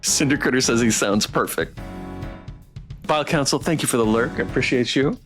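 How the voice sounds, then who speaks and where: A man's voice: medium pitch, fairly quick, a bit bright. A man livestreaming a game playthrough and courteously chatting with his viewers. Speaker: game streamer. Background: background music.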